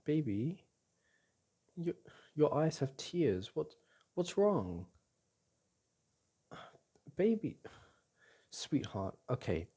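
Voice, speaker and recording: A young man playing a caring, reassuring boyfriend in an intimate ASMR roleplay, speaking gently and haltingly with sighs and restarts. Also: fairly high pitch, sing-song, breathy edge, full character voice. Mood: concerned. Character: caring, reassuring boyfriend comforting his upset partner. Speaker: audio roleplay performer.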